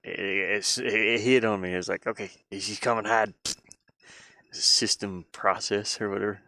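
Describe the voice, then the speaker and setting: A man chatting casually on a live stream as he works on a game, halting and muttering his thoughts aloud. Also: on the low side, fairly even intonation, pushed and bright, no echo, studio quality. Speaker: hobby game developer streaming his work. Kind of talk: casual live-stream chat while working.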